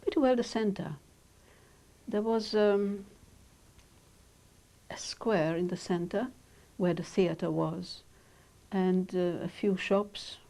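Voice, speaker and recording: An older woman whose first language is Hungarian, speaking in measured, reflective sentences with pauses as she is interviewed about her childhood. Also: mid-range voice, vocal fry, warm tone. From Romania. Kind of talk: oral history interview.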